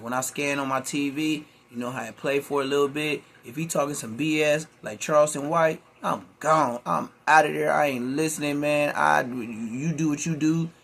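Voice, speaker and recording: A man's voice: fairly high pitch, normal speed, vocal fry, few pauses, a bit bright. A man hosting a reaction video, talking in laid-back slangy street talk. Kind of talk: casual commentary.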